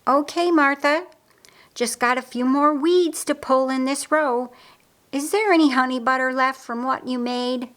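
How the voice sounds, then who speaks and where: A woman voicing a devoted, bantering sister in a storybook, with expressive, exclamatory delivery. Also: mid-range voice, a little slow.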